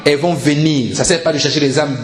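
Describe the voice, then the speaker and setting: A man's voice: a little high, quick pace, crowd noise. A man preaching a church sermon as a pastor, telling stories and exhorting with emphatic repetition. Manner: animated storytelling with repetition for emphasis. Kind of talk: Sunday church sermon, storytelling exhortation and call to prayer. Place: indoor church service. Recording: roomy church sound with music.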